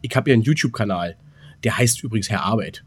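A man's voice: on the low side, expressive, fast talker, slightly rough. A man co-hosting a podcast, chatting casually in rambling anecdotes and often hesitating as he searches for words. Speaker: podcast co-host.

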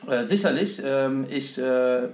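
A man's voice: medium pitch, a little slow. German man explaining stocks, roomy sound. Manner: explains with lots of "ähm" fillers and examples. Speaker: investment speaker, guest. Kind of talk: casual podcast conversation. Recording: roomy echo.

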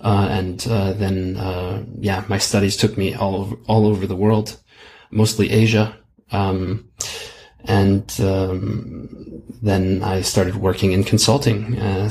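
A man's voice: fairly low pitch, level tone, a little slow, darkish tone, a little hiss or hum. A man being interviewed as a guest, talking casually over a video call and hesitating with many fillers. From Germany.

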